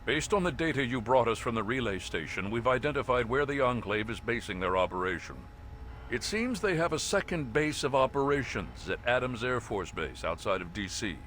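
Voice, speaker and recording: A man plays a stern, composed military officer in a video game. His medium-pitched voice is measured and authoritative, delivering a formal briefing over faint background noise. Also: expressive, fairly bright tone, natural voice. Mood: businesslike, urgent. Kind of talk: scripted mission briefing.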